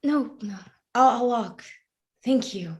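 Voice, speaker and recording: An actress playing a hesitant, withdrawn woman in a staged play reading, speaking haltingly. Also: on the high side, lively intonation. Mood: wary. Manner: halting, hesitant, filler-heavy. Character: hesitant, withdrawn woman walking away from a retreat.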